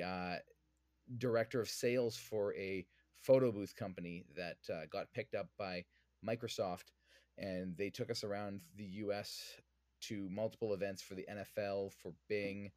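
A man's voice: on the low side, a little mellow, dry recording, clean studio sound. A man from Vancouver with a Canadian accent, answering interview questions conversationally as a podcast guest. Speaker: podcast guest, whiskey influencer.

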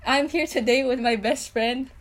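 A woman's voice: high-pitched, normal speed. A teenage girl hosting her own podcast, chatting casually and giggling often, with many fillers. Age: teens. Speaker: podcast host. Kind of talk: casual chat.

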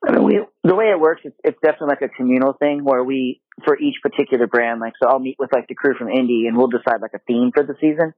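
A man in a relaxed interview who grew up in Japan, talking through his work as an apparel designer with lots of casual fillers. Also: mid-range voice, fast talker, few pauses, breathy edge, no echo. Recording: dry, phone-like remote call audio.

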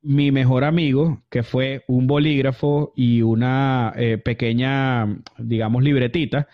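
A man's voice: medium pitch, steady tone, measured pace. Chatty man, lo-fi audio. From Venezuela. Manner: chatty, many fillers and restarts. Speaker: guest. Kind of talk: casual storytelling interview. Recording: dull lo-fi audio.

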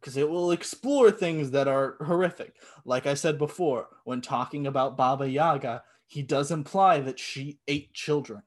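Blunt, sarcastic man, slightly high voice. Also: moderate pace, few pauses. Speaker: podcast co-host. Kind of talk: casual chat reviewing a film.

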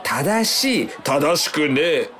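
An uneasy radio-play worker. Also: male voice, a little high, expressive, slow, a crowd in the background. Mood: apologetic. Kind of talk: radio drama, terse rhythmic delivery.

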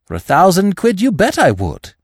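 A man plays a young upper-class British schemer in a comic storybook. His voice sits high and leaps about wildly. He is breezy, eager and given to slangy exclamations. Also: huge pitch swings, normal speed, breathy edge, no room sound, studio quality, exaggerated voice. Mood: buoyant excitement. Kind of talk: comic audiobook character reading.

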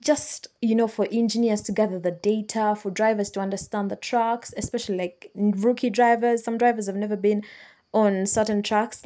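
A woman's voice: mid-range voice, darkish tone. A Ugandan woman hosting a solo podcast, chatting and explaining things patiently for newcomers, correcting her own slips as she goes. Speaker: podcast host.

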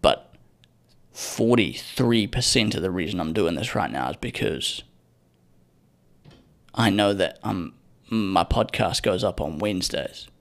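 A young man rants solo into his podcast mic, cursing freely and wandering off on sardonic tangents. His low voice has a gravelly, creaky edge. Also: lively intonation, unhurried, pauses often. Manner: profane, rambling, sardonic. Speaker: podcast host. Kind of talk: casual solo podcast rant. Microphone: podcast microphone.

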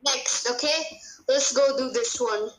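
Echoey, high, bright young co-host, unhurried casual banter. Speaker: podcast co-host. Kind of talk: casual guessing-game chat. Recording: roomy, echoey homemade recording.

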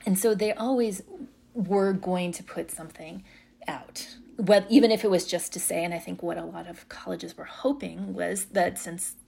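A woman journalist explains policy as an interview guest, working through her points thoughtfully. Her voice is low-set, gravelly with vocal fry, and husky. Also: steady tone, normal speed, dark tone.

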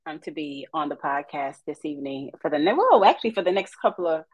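A woman from East Texas, chatting on a podcast as a guest counselor and telling her story with faith-filled warmth. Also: sits low, animated, fast, slightly rough, no room sound. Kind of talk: casual podcast chat.

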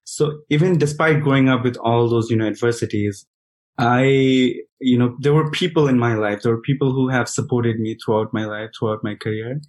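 A man's voice: medium pitch, moderate pace, darkish tone. Interview guest, man from India, 30s, thoughtful, restarts often. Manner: hesitant, many restarts and fillers. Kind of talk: conversational interview answers.

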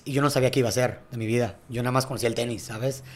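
A man's voice: mid-range voice, fairly even intonation, slight background noise. A young man, a tennis coach and guest, telling his story in a casual podcast chat and often asking "¿sabes?". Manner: reflective, fast storytelling with frequent "¿sabes?".

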